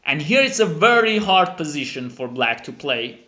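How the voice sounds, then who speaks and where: A man who is a non-native English speaker gives animated, emphatic chess commentary as a teacher, dramatizing the game. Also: fairly high pitch, moderate pace. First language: not English. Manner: dramatic, emphatic, acts out the players' thoughts. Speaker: chess teacher and channel host.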